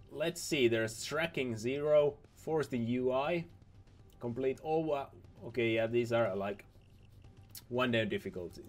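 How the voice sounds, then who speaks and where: A young man, a little hoarse from losing his voice, giving casual rambling commentary over game footage, repeating himself and restarting words. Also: medium pitch. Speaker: gaming video host.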